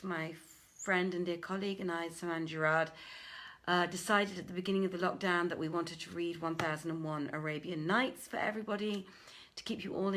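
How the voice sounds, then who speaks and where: A woman hosting an informal story reading, speaking deliberately with a theatrical touch as a storyteller. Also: medium pitch, fairly bright tone. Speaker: host and reader, theatre director. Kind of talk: informal livestream welcome, then dramatic storybook reading.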